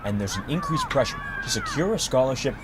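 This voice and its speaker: A young man narrating sports news packages and reading a sportscast in a steady scripted delivery. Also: medium pitch, quick pace, crowd noise, noisy background. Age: young adult. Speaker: student sports reporter.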